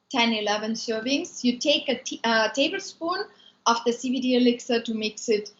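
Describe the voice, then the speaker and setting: A woman's voice: a little high, fairly bright tone. A woman from Austria with an Austrian German accent, chatting loosely on a live stream, answering viewer comments with many ums. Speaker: food maker and business owner. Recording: echoey room, dull lo-fi sound.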